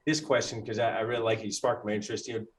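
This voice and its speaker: Animated man hosting a chat. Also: fairly low pitch, racing, a little hiss or hum. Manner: animated, interjecting, rhetorical. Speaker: dentist and podcast host. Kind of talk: casual interview chat.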